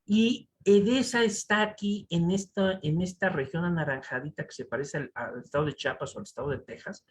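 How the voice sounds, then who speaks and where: A man with a Mexican accent giving a conference lecture over slides, animated and full of rhetorical asides to the audience. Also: on the high side, fairly quick, slight background noise. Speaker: conference speaker. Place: conference hall.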